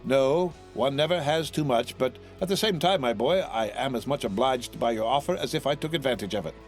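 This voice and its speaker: A man's voice: medium pitch, a little fast, soft background music, voice pushed up, close to an everyday voice. A man voicing a grinning, envious young tailor in a storybook, effusive and over-familiar, repeating himself. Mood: sly, effusive. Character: grinning, wheedling tailor neighbor, slyly envious man in his twenties.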